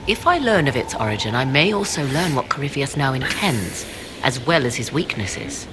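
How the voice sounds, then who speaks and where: An actress playing a mysterious, proud sorceress in a video game, speaking in formal, archaic and measured phrases with a low, creaky voice. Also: female voice, big pitch swings, heavy background noise. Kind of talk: video game dialogue acting.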